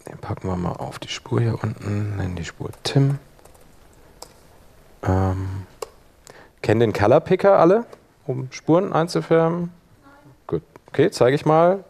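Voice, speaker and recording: A middle-aged man giving an informal software tutorial, conversational and thinking aloud as he demonstrates. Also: mid-range voice, a little slow, vocal fry, long pauses. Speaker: workshop presenter. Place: indoor room at a workshop, in front of an audience.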